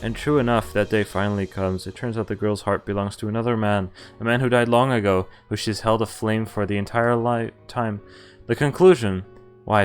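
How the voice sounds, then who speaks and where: A man's voice: lowish voice, clear, resonant tone. A man streaming a game, reading its story aloud dramatically and breaking off into incredulous asides. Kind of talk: reading a visual novel aloud with spontaneous reactions. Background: game music.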